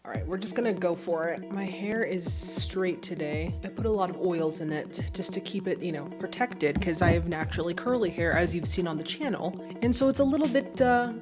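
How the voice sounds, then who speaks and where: A woman's voice: on the low side, fairly quick, few pauses, over loud music. A woman hosting a film reaction vlog, talking casually and emphatically to viewers in a chatty, informal way.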